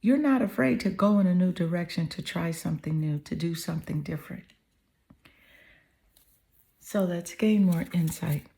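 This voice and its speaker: A slightly hoarse woman giving an earnest, affirming tarot reading to the viewer, often checking in with "okay?" and "you know". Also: lowish voice, normal speed, pauses often, mellow. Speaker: tarot reader.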